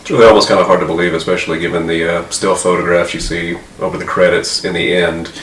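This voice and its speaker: A man's voice: sits low, level tone, quick pace, fairly bright tone, roomy sound, far from the mic, slight background noise, low-quality recording. A man on a casual group podcast making wry, thoughtful remarks.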